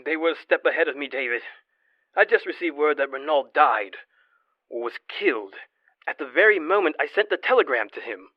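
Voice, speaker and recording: A man playing a grave, authoritative occult doctor in an old-time radio horror drama, speaking emphatically and deliberately. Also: fairly high pitch, expressive, no echo, highs cut off. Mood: troubled. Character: grave, authoritative male doctor battling the supernatural.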